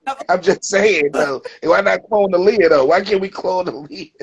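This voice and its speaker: A man hosts a relaxed interview, playful and teasing. His voice sits a little higher than most men's. He keeps the talk moving with short prompts and quick reactions. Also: vocal fry, lo-fi sound, a crowd in the background. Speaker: interview host. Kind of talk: casual interview chat.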